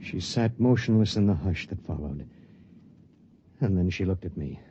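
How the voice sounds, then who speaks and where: An actor playing a lonely, guilt-ridden spaceship pilot, brooding and terse, in a 1950s radio drama. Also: male voice, low-pitched, animated, normal speed, dark tone, husky. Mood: brooding, grave. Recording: muffled vintage radio broadcast.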